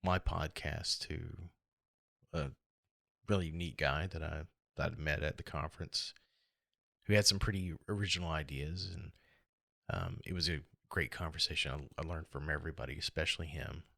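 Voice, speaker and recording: A middle-aged American man with a hoarse, gravelly voice, talking alone in a reflective, halting and rambling way as a casual podcast host. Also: a bass voice, pushed and bright, no room sound, studio quality. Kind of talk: casual solo podcast monologue.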